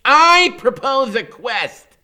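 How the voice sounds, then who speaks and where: A man's voice: extremely high pitch, sing-song, slow, sharp tone, pitched above the performer's own voice, full character voice. A man voicing a bossy, bookish, theatrical boy genius in a children's novel, exclaiming with pompous impatience. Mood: grandly scholarly. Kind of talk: children's novel character reading.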